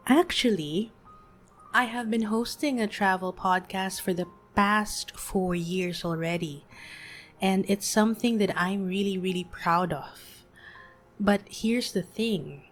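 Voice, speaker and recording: Slow, soothing woman in her late thirties. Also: medium pitch. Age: 30s. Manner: gentle, soothing, unhurried. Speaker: podcast host. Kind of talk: soft-spoken reflective monologue.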